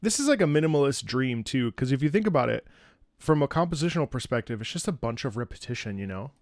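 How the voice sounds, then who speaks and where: A man streaming a video game, thinking aloud in casual, animated commentary as he analyzes the music. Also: mid-range voice, quick pace.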